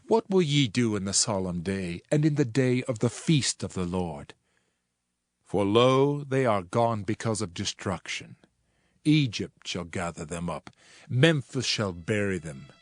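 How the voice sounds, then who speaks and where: A man's voice: fairly low pitch, lively intonation, unhurried, dry recording. A man playing a solemn Old Testament prophet in a dramatized Bible reading, declaiming judgment in a grave, formal manner. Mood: grave, sorrowful. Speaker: scripture narrator. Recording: music under the voice. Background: music.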